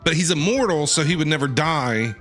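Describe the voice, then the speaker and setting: A man streams a game with casual running reactions. His voice is bright and forceful, fairly high and very lively in pitch, as he asks questions and repeats himself. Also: fairly quick. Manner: chatty, inquisitive, repeats himself. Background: faint game music.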